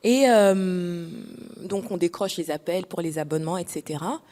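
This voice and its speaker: A young woman from Congo-Kinshasa, with no noticeable accent, tells a story on a panel in a lively way and acts out the dialogue. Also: on the low side, normal speed.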